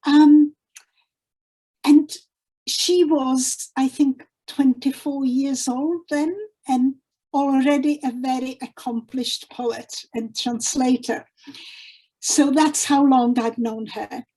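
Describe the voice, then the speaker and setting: Woman talking slow on a video call, lots of ums, warm mellow tone. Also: fairly high pitch, rough recording. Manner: hesitant, with frequent ums and restarts. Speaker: presenter introducing a guest poet. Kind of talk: unscripted introduction at an online literary event.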